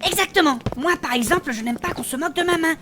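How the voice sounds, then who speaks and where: A woman's voice: high voice, fast, background noise, lightly acted. A woman playing a self-conscious, sulky young adventuress with a dry, complaining manner in a comedic fantasy audio drama.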